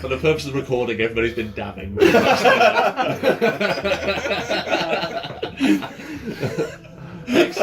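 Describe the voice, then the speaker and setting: A man's voice: fairly high pitch, extremely slow, pauses often, a loud crowd. A man talking casually and encouragingly as the game master of a tabletop roleplaying session, often joking. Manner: jokey, encouraging. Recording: background music under the talk. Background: ambient music.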